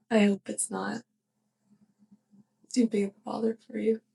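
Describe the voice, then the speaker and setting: A woman playing a young, clumsy, affectionate witch neighbor in a soft, hesitant ASMR roleplay monologue, speaking straight to the listener. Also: medium pitch, creaky, husky, exaggerated voice. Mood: tender, hesitant. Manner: hesitant, halting, giggly.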